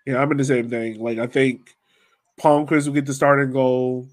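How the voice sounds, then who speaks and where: Mid-pitched man chatting about soccer. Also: steady tone, normal speed. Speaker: soccer fan podcast host. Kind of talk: casual chat.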